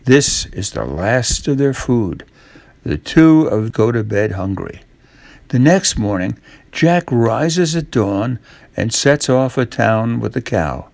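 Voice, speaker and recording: An older man narrates a children's storybook. His delivery is slow and unhurried, at a middling male pitch, in a dry recording with very little room around it. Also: sing-song, gravelly, darkish tone, dry recording.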